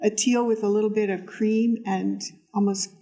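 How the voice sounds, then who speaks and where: A woman's voice: mid-range voice, level tone, moderate pace, mellow. A woman co-hosting a yarn shop podcast, chatting with enthusiasm about knitting and asking her co-host lots of questions. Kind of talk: casual chat about knitting.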